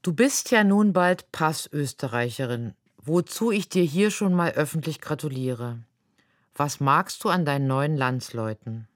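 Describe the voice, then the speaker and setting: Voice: medium pitch, animated, measured pace, no room sound, studio quality. An interviewer asking direct, friendly questions.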